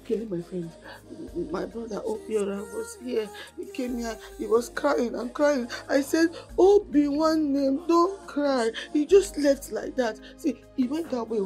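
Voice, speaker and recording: A woman's voice: high-pitched, measured pace, warm tone, soft background music, rough recording. An actress with a Nigerian accent playing a mentally disturbed, childlike young woman grieving in a film drama, rambling and repeating herself. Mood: childlike, plaintive. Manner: repetitive, rambling, childlike speech.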